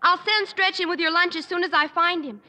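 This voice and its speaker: An actress playing a sweet, coaxing teenage daughter in a 1950s radio sitcom. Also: female voice, very high voice, fairly even intonation, fairly quick, noisy background. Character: sweet chirpy teenage girl, principal's daughter.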